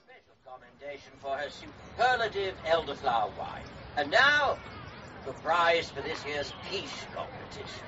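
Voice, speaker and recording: Formal English fete judge, raised lively voice, crowd around, ceremonious. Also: male voice, on the high side, moderate pace, music in the background. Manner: ceremonious, projected. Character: formal village competition judge announcing winners. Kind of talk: radio drama acting. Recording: muffled outdoor scene, noisy crowd.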